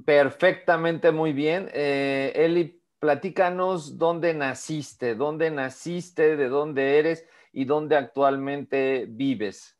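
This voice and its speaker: A man interviewing a guest remotely, asking his questions slowly and deliberately. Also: fairly high pitch.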